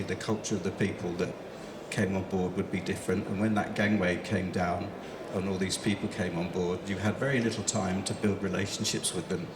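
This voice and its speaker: A middle-aged man moderating a conference panel, talking in a rambling way with lots of fillers. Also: deep voice. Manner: rambling, hesitant, many fillers. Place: large conference hall. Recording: echoey hall with background noise.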